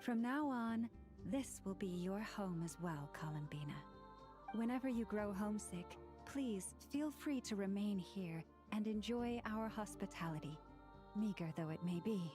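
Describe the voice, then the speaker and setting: A woman voicing a gracious, devout priestess in an anime game, speaking in a formal, measured, reverent way. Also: mid-range voice, lively intonation, over music, lightly acted. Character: gracious, devout priestess welcoming her goddess.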